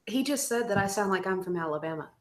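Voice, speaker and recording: A woman's voice: mid-range voice, expressive, fast. A woman from Texas on a casual livestream, dry and exasperated in her remarks.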